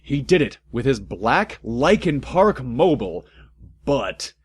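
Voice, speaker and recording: A man's voice: mid-range voice, big pitch swings, takes it slowly, breathy edge. A man doing a comic dramatic read-aloud, theatrical and exaggerated, breaking into amused asides. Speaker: narrator and host of a comedic fan fiction reading.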